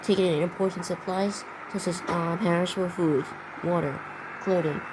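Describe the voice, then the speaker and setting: Halting woman reading mock emergency news, lowish pitch. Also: steady tone, noisy background. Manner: halting, stumbling, repeats phrases. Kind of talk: amateur mock emergency broadcast and news report.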